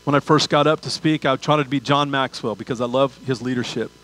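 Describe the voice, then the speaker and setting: An older pastor delivers a sermon in a mid-pitched voice. He speaks conversationally to his listeners. He repeats key phrases and poses rhetorical questions to drive each point home. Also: steady tone, a little fast, a bit bright, poor audio. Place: large church auditorium stage.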